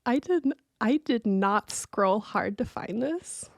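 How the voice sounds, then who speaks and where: Higher-pitched woman, candid podcast chat. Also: lively intonation, slow pace, vocal fry, no echo, clean studio sound. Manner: candid, slangy, drops into whispers. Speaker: podcast co-host. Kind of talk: casual chat.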